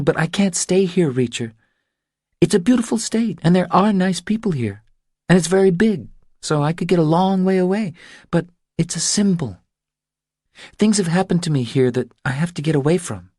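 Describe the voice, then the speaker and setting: A man's voice: high voice, a little mellow, no room sound, clean studio sound, voice pushed up. A man voicing an anxious, stubborn woman who confides her troubles in an earnest, pleading way, for an audiobook. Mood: anguished, insistent. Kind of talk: audiobook character dialogue.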